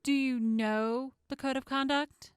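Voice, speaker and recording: A woman's voice: high voice, fairly even intonation, unhurried, clear, resonant tone, dry recording, studio quality. An actress playing a composed woman prosecutor who questions formally and pointedly in an audio drama courtroom. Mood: cool, pointed.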